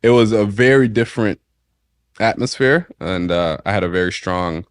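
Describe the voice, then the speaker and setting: A young man with an American accent, originally from St. Maarten, chats loosely about his music roots as an interview guest. His voice sits on the low side and wanders through fillers and restarts. The sound is close and dry, with no room around it. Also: normal speed, clear, resonant tone, no room sound. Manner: laid-back, rambling, lots of fillers. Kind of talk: casual interview conversation.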